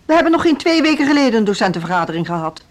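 Indignant, high-voiced school leader. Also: female voice, sing-song, very fast. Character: indignant, stubborn woman music school leader, dismissive and suspicious. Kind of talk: Dutch radio play acting.

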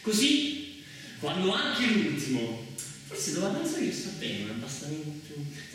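A man's voice: on the high side, wildly swooping pitch, a bit bright, distant mic. Echoey man performing a theatrical monologue. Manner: halting, repetitive, dramatic delivery. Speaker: stage actor performing his solo monologue. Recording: echoey hall from afar, faint noise.

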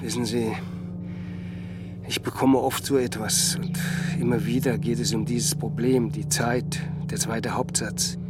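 An actor playing a renowned, aloof scientist in a radio drama, speaking formally and hesitantly, with condescension. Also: male voice, medium pitch, fairly even intonation, a little slow, close to an everyday voice. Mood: hesitant, dismissive. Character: aloof, renowned male scientist, coolly dismissive. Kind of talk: German radio drama dialogue. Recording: music playing under the voice. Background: music.

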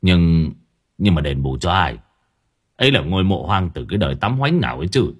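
A man's voice: deep voice, animated, fairly quick, breathy edge, pitched below the performer's own voice. A man voicing a crude, grumbling village husband who curses and stammers with hesitant fillers.

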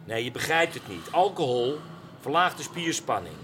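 Radio drama: candid confidant, coaxing, mid-range expressive voice, music behind. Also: male voice, mid-range voice, normal speed. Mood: concerned, frank. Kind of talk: naturalistic Dutch radio drama acting.

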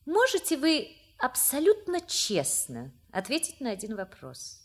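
Earnest, expressive young woman. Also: sits high, a little slow, full character voice. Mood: polite, probing. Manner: polite, earnest. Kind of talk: stage comedy audio play acting.

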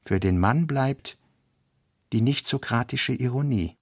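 Creaky-voiced man reading literature. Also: mid-range voice, measured pace, a little mellow, dry recording, studio quality. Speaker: reader in a literary radio play. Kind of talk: literary narration and diary reading.

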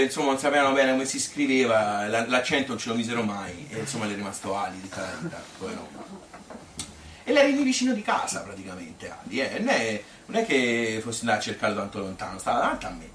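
A man with a Tuscan accent playing a nostalgic, cheerful old grandmother in a chatty, halting stage monologue. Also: mid-range voice, fairly bright tone, roomy sound, distant mic, slight background noise. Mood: fond, chatty. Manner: colloquial, halting, repeating phrases, chatty. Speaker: solo stage storyteller. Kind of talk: theatrical monologue as spontaneous spoken reminiscence.

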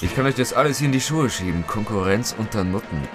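A man's voice: lowish voice, expressive, fast talker, music in the background, lightly acted. A man acting a sleazy, callous client in his forties in a German radio drama, patronizing and coldly threatening. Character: sleazy, menacing forty-two-year-old male client, cynical and callous.

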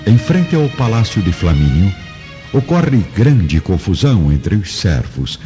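Very deep, mellow male narrator. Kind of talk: dramatic scripted narration of an audio novel. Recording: dull lo-fi recording over music.

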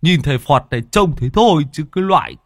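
Man as a cheeky, high-voiced teasing sidekick. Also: expressive, quick pace, no room sound, studio quality. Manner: mocking, slangy.

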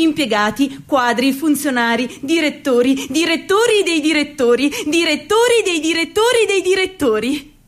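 High slow woman playing a young girl daydreaming about her startup, dreamy and self-mocking, radio play. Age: young adult. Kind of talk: theatrical radio monologue.

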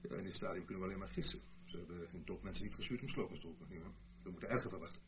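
An actor playing an earnest British intelligence officer, giving a formal, measured explanation in a Dutch radio play. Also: male voice, sits low, fairly even intonation, a bit bright, slight background noise. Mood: grave, explanatory. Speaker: intelligence briefer.

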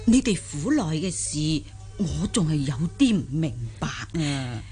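A woman's voice: deep voice, sing-song, measured pace, dark tone, husky, soft background music, exaggerated voice. A woman playing a humble, curious old country granny in a Cantonese audio drama, hesitant and full of simple wonder. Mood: puzzled, curious.

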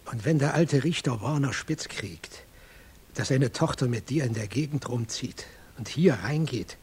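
An actor playing a courteous but wary male barkeeper in a radio crime drama, with a rough, husky voice. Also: mid-range voice, animated, a little slow, darkish tone. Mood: warning, uneasy.

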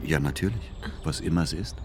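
An actor playing a devoted, patient grown son who also narrates a literary radio play in a measured, gentle, melancholy way. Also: male voice, rumbling low.

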